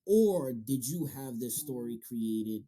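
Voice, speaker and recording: Casual, swearing man with a dark, mellow voice. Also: mid-range voice, animated, slow. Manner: slangy, casual, swearing freely. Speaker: podcast interviewer and manga reviewer. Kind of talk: casual interview chat.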